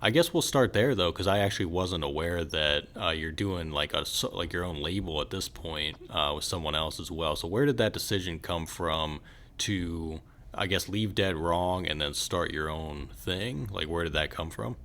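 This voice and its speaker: A man's voice: low-pitched, moderate pace, fairly bright tone. A man hosting an interview podcast, talking casually as he introduces and questions a guest.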